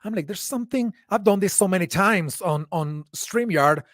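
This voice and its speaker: A man's voice: sits high, lively intonation, normal speed, fairly bright tone, no echo, studio quality. Chatty man hosting a video panel. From Venezuela. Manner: chatty, laughs easily. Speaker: panel moderator. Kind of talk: casual webinar hosting. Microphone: video call.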